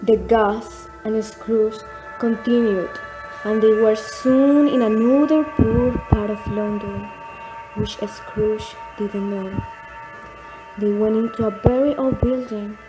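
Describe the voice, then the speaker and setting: A woman's voice: a little high, fairly even intonation, slow pace, music in the background, poor audio. A woman narrating a scripted story as the narrator of an audio drama.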